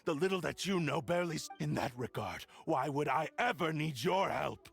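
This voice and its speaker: An actor playing an arrogant, obsessive cursed sword spirit in a video game, haughty and menacing, with gravelly theatrical delivery. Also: male voice, on the high side, animated, normal speed, faint music behind, exaggerated voice. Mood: defiant, menacing. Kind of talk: dramatic video game voice acting.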